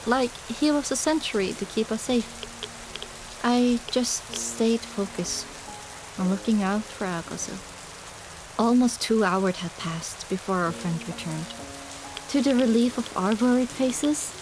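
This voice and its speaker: A woman narrating a first-person fantasy audiobook in an expressive, dramatic storytelling manner. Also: fairly high pitch, a little hiss or hum, rough recording.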